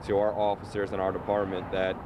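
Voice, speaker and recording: A man's voice: low voice, completely flat delivery, fairly quick. A man with an American accent speaks as a police spokesperson, giving an official statement to reporters. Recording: clear outdoor traffic noise.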